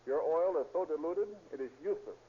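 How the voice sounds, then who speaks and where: A man's voice: fairly high pitch, brisk. A man speaking as an engineer guest, explaining stiffly and emphatically in a scripted 1930s radio testimonial. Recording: muffled, noisy 1930s radio recording.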